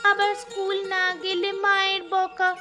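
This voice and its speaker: Woman as a high-pitched chatty little schoolgirl, music behind. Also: completely flat delivery, moderate pace. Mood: chatty, complaining. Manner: chirpy, talkative. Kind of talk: cartoon voice acting.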